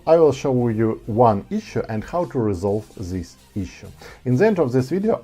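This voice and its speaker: A man's voice: mid-range voice, dark tone. A man, explaining an online tutorial step by step in English. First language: Ukrainian. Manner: explains step by step in non-native English, gesturing with his hands. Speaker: online ads instructor. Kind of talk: screen-share tutorial. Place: dark studio with blue backlight. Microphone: small lapel mic.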